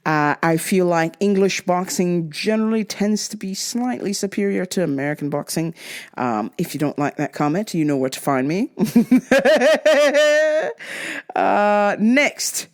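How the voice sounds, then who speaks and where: A woman hosting a casual podcast, giving fight picks in a crude, joking, animated way. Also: medium pitch. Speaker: podcast host.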